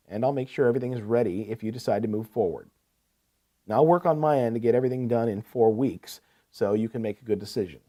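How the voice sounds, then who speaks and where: A man's voice: mid-range voice, warm tone, close to an everyday voice. A man playing a courteous, attentive equipment salesman in a scripted business phone call, asking probing questions. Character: courteous, eager male heavy-equipment salesman.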